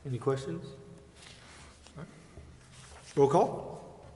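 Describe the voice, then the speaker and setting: A man's voice: mid-range voice, slow pace, warm tone, slight background noise. A man chairing a city council meeting, going through formal procedure in an echoey chamber. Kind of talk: formal meeting procedure, reading agenda items. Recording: echoey hall, long reverb.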